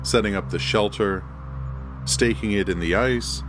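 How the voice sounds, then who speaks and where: A man reading a first-person horror story in a measured, deliberate storytelling manner. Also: on the low side. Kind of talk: first-person scripted narration. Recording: music bed under the voice. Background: music.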